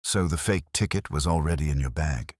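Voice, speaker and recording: A man's voice: very deep voice, a little fast, a little mellow, no room sound, studio quality. A man playing a careful, inquisitive detective in an audio mystery story. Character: careful, questioning detective, the inspector's colleague.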